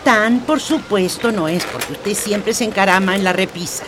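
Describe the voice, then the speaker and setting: An actress in a radio drama playing a weary, bossy but fond old grandmother who scolds gently in plain words. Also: mid-range voice, normal speed, background noise, close to an everyday voice. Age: older.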